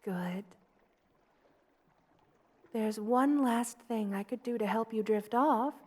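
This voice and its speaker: A woman voicing a gentle, loving, motherly spider queen in a soft, hushed ASMR roleplay, tender and wistful, often slipping toward a whisper. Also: on the high side, slow pace. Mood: soothing, motherly.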